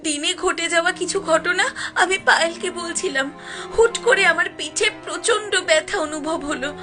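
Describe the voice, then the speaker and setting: A woman's voice: extremely high pitch, moderate pace. A woman voicing an emotional young village woman in a cartoon, dramatic and insistent in her speech. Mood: distressed. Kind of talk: cartoon voice acting. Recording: loud music behind the voice.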